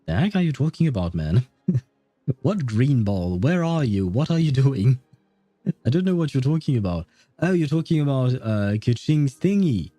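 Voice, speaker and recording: A man's voice: mid-range voice, big pitch swings, normal speed, mellow, no room sound, faint music behind. A man giving casual, chatty and opinionated commentary as he livestreams a game.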